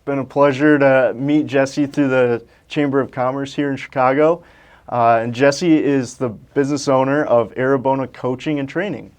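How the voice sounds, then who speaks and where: A relaxed male host keeps a business conversation moving. His voice sits at a middle pitch, and he nudges his guest along with short, encouraging replies. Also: moderate pace. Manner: easygoing, encouraging. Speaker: podcast host. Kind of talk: casual interview.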